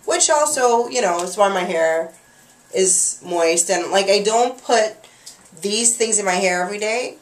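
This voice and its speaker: A woman's voice: mid-range voice, measured pace. A young woman vlogging about her hair at home, chatting casually with lots of fillers, a little nasal from a cold, recorded on a webcam. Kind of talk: casual chat to camera. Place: small bathroom at home. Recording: echoey small room.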